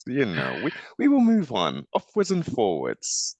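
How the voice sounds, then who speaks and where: A man's voice: mid-range voice, huge pitch swings, unhurried, darkish tone, no echo. A man hosting a conversational sports interview with theatrical, formal flourishes and an encouraging way of talking. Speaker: sports show host.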